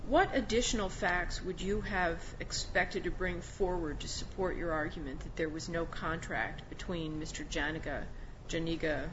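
A woman's voice: fairly low pitch, steady tone, normal speed. Muffled, assertive woman judge questioning counsel. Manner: assertive, probing, argumentative. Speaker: appellate judge. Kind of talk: questioning a lawyer from the bench. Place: courtroom. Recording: muffled courtroom recording with clear background noise.

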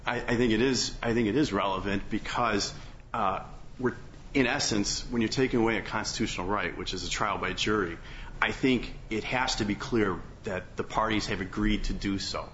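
A man speaking as a lawyer in oral argument on appeal, halting and hedging, often repeating his phrases. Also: lowish voice, a bit bright. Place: courtroom. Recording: muffled courtroom recording, faint background noise.

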